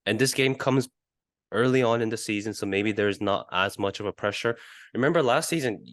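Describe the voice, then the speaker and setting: A man co-hosting a casual football podcast, reasoning in a measured, halting way. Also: fairly low pitch, a bit bright, no echo, studio quality. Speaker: podcast co-host.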